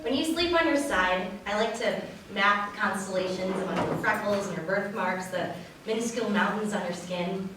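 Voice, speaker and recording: A woman's voice: medium pitch, normal speed. A woman reading a love piece aloud as a performer at a wedding. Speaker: reader at a wedding reader's theater. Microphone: distant from speaker. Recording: echoey room, distant mic, faint noise.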